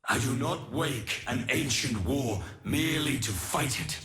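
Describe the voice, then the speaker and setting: An actor playing a stoic, menacing ancient warrior demigod in a video game, with solemn, weighty, declamatory delivery. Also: male voice, mid-range voice, lively intonation, unhurried, sharp tone. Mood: solemn resolve. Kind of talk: dramatic video game character voice lines.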